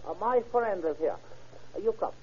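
An actor playing a small, timid guide who speaks halting broken English in an old radio drama. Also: male voice, high-pitched, lively intonation, slightly rough. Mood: hesitant, deferential. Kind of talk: 1940s radio acting. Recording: noisy muffled old radio recording.

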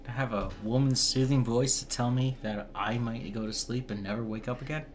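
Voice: mid-range voice, steady tone, a little fast. A podcast co-host with a mid-range voice speaks in casual chat. The delivery is blunt and profane, with dry jokes. A faint noise runs beneath the voice.

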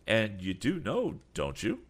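Man doing an eager young inventor, raised pitch, louder, keen and pressing. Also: slow, slightly rough, full character voice. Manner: eager, excited questioning.

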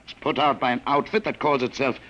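A man's voice: mid-range voice, steady tone, a little fast, a bit bright, lightly acted, low-quality recording. A man playing a suave, menacing government searcher who poses as a genial stranger, mock-polite and insinuating, in old-time radio drama style. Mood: smoothly teasing. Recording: vintage radio recording, faint noise.

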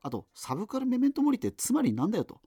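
Animated, rambling male radio host. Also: extremely high pitch, racing, husky, no room sound, heavily acted. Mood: reflective, wry. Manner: wry, digressive, full of hesitant fillers. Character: rambling, self-deprecating male radio host in doomed 2039. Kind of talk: conversational radio drama monologue, reminiscing.

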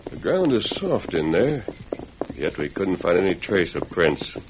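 An actor playing a steady, commanding masked western hero in an old radio drama, earnest and deliberate. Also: male voice, low voice. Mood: puzzled, resolute. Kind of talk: 1940s radio western acting. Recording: noisy old radio recording with clicks.

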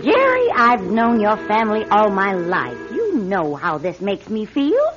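A woman's voice: mid-range voice, huge pitch swings, measured pace, over music. An actress playing an older woman who keeps a lodge, an emphatic, dramatic old family friend in a radio drama. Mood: grave, insistent. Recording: dull radio recording.

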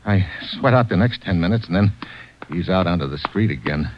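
An actor playing a tough, wisecracking American secret agent in a 1950s radio drama, clipped and deadpan. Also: male voice, low voice, a little fast, highs cut off. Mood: terse, wry. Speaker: lead actor and narrator. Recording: noisy vintage radio recording.

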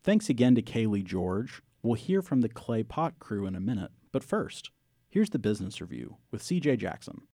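A man hosting a public radio interview show in a studio, friendly and measured. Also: fairly low pitch, sing-song, gravelly, dark tone, no echo. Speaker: radio host. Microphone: studio microphone.

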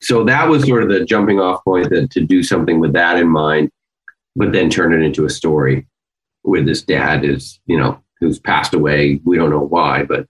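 A man's voice: rumbling low, normal speed, rough recording. A man who writes and performs for a podcast, chatting casually and with a joking, teasing manner.

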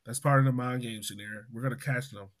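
A man's voice: mid-range voice, steady tone, quick pace, a bit bright. A man hosting a fan podcast, upbeat and joking as he wraps up the show. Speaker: podcast host. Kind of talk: casual podcast chat.

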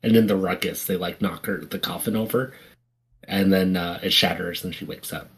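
A man's voice: low voice, steady tone, normal speed, slightly rough. A man co-hosting a podcast in casual banter, with a mildly exasperated manner. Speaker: podcast co-host and quiz contestant.

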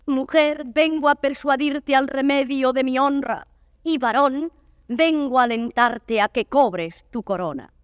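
A woman's voice: high voice, measured pace, smooth, no room sound, clean studio sound. An actress playing a proud, wronged young noblewoman pleading for her honor, declaiming classical Spanish verse with passion. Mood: pleading, fervent. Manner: declamatory, impassioned.

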